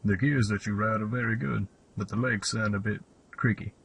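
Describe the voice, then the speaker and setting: A man playing an old, knowing hermit in a radio play, speaking in an unhurried, musing way with a husky voice. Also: lowish voice, steady tone, a bit bright, a little hiss or hum, poor audio. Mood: musing, matter-of-fact. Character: old hermit fossicker, knowing, quietly amused gear fanatic.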